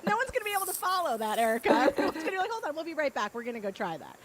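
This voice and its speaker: A woman's voice: sits high, sing-song, rapid-fire, a loud crowd. A woman hosting in a casual, chatty style, with a playful and effusive manner. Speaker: host. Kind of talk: casual chat.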